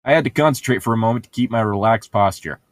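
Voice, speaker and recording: A man's voice: mid-range voice, quick pace, no echo. A man co-hosting a podcast in casual joking banter over a book being read aloud, sarcastic and teasing. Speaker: podcast co-host.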